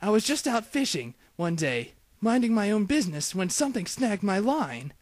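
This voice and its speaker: A man acting high, swooping Southern cartoon townsmen. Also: big pitch swings, normal speed, no echo. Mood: rueful storytelling. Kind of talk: parody cartoon dub acting.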